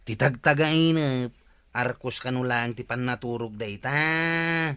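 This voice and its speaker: An actor playing a skeptical, scolding village companion in a radio comedy-drama, dismissive and needling. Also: male voice, medium pitch, takes it slowly, sharp tone, clear, resonant tone, no echo.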